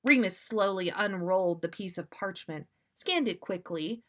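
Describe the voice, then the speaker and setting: A woman narrates a story in an expressive read-aloud style. She takes her time at a slow pace, with a mid-range voice, as a podcast host reading to listeners. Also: mid-range voice.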